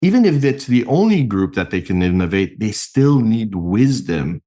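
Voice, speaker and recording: A middle-aged man from Mexico, a podcast guest and founder, explaining his work in an animated, earnest way with a few restarts. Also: lowish voice, moderate pace. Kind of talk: conversational interview answers.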